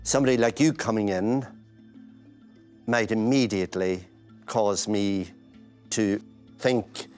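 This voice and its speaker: A man who owns a business, explaining his view in a measured, thinking-aloud way over dinner. His voice sits low. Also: fairly even intonation, darkish tone, faint music behind. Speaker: business owner. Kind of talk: reality show dinner conversation.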